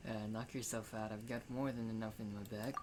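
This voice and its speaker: A man's voice: lowish voice, level tone, brisk, heavy background noise. A man casually reacting aloud as he plays a video game. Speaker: gamer. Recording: game music behind the voice.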